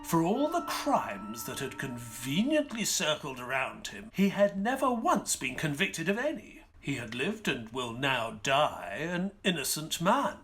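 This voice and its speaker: An actor playing an elderly retired doctor and author in an audio drama, narrating in a measured, solemn, wistful way. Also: male voice, a little high, sing-song, fairly bright tone, faint music behind, heavily acted. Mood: reflective, solemn. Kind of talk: dramatic first-person scripted narration.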